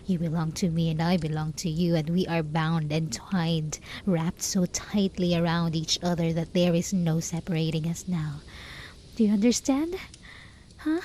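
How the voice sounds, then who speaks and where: Casting: actress as possessive hermit enchantress for ASMR roleplay; mellow, intimate, whisper-prone, desperate and lovesick, faint noise behind. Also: female voice, on the low side, close to an everyday voice, rough recording. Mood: obsessive, threatening. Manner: soft, slow, intimate, often dropping into whispers. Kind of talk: ASMR roleplay, scripted dramatic monologue.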